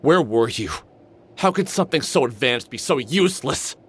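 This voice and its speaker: A man's voice: medium pitch, animated, normal speed, a bit bright, slightly rough. An actor playing a weary, sardonic middle-aged man who broods and mutters to himself in a video game. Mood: angry. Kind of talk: video game voice acting, brooding inner monologue and dialogue.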